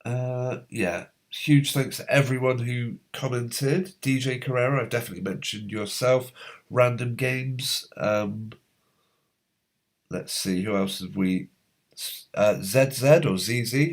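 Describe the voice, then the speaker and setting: A man hosting a live stream, reading out viewers' comments in a halting, rambling way, his voice going hoarse as a cold comes on. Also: mid-range voice. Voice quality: slightly hoarse. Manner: rambling, halting, many fillers.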